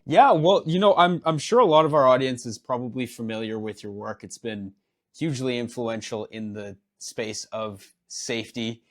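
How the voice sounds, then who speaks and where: A man hosting a podcast, speaking in a friendly, casual way with fillers. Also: lowish voice. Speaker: podcast host. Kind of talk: podcast interview.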